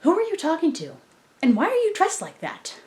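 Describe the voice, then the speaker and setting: A woman's voice: very high voice, huge pitch swings, fairly quick, a little mellow, pitched above the performer's own voice, heavily acted. A woman playing a practical, mildly exasperated female android partner to a cartoon detective. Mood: skeptical.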